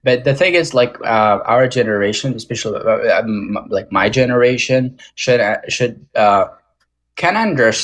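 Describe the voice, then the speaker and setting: A young man, a podcast guest who grew up outside the English-speaking world, speaking in a halting, casual way with many fillers and restarts. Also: medium pitch. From Tajik American, born in Moscow. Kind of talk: casual interview talk.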